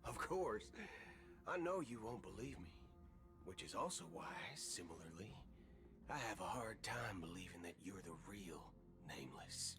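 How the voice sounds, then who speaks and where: An actor playing a cocky space cowboy gunslinger in a video game, with a taunting, folksy manner. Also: male voice, low-pitched, sing-song, unhurried, music in the background, heavily acted. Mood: amused menace.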